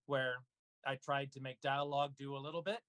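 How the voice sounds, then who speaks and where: A man's voice: medium pitch, steady tone, fairly quick, fairly bright tone, clear, resonant tone. A man speaking casually as an interview guest, an actor and narrator talking about his own work.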